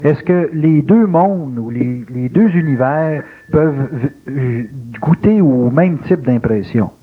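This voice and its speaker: A man's voice: a little high, animated, slow, a little hiss or hum. A male interviewer with a Québécois French accent, speaking conversationally and reflectively, searching for his words as he frames questions. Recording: thin, phone-like lo-fi interview recording.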